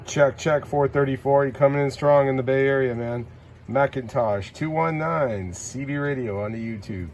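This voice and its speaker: Very loud radio hiss surrounds a man with a middling pitch. He makes CB call-outs in short, clipped phrases. Between them he comments casually, with friendly slang. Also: moderate pace. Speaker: CB radio operator and video host. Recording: heavy static and radio noise. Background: CB radio hiss and static.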